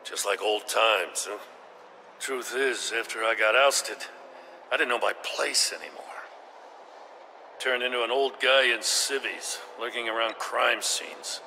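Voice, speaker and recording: A man's voice: mid-range voice, a little slow, sharp tone. A man playing a weathered, plain-spoken older cop in a video game, with a wry, familiar manner. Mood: wry, reflective. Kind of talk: dramatic video game acting. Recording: long reverb, faint background noise.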